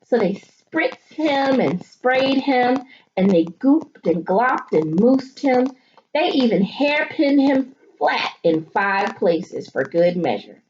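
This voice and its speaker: Animated woman teacher reading aloud, muffled noisy recording. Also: a little high, takes it slowly. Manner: expressive, thinking aloud, questioning young listeners. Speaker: elementary school teacher. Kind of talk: animated children's picture-book read-aloud with teaching asides. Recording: muffled audio with clear background noise.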